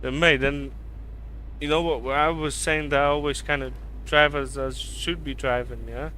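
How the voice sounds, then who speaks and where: A man's voice: on the high side, steady tone, moderate pace, sharp tone, a little hiss or hum. A man who grew up in Canada, chatting casually on a live stream while he drives in a game, reading and answering viewers by name. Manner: chatty, loose, reads and answers chat by name, calls viewers mate.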